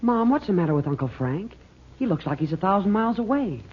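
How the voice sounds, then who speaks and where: Radio juvenile part: polite, inquisitive teen boy, raspy boyish tone, dull vintage recording. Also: medium pitch, very animated, fast. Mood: curious. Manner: plain-spoken, boyish, inquisitive. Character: Bob, curious, polite teenage boy. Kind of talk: old radio serial acting.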